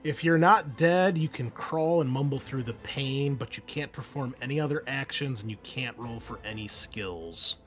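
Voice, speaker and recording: A man with a mid-pitched voice runs a tabletop roleplaying game. He explains rules and narrates off the cuff, pausing to think aloud. Soft music plays behind him. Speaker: game master of an online tabletop game. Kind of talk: casual rules talk and improvised narration.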